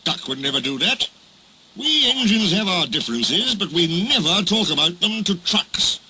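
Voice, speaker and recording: A man's voice: sits high, sing-song, moderate pace, pushed and bright, slight background noise, pitched above the performer's own voice, exaggerated voice, low-quality recording. A man voicing a pompous, indignant male steam engine who stammers when flustered.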